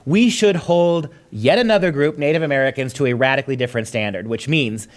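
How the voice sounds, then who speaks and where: A man's voice: medium pitch, a little fast. A man hosting a talk show, giving sarcastic, emphatic opinionated commentary.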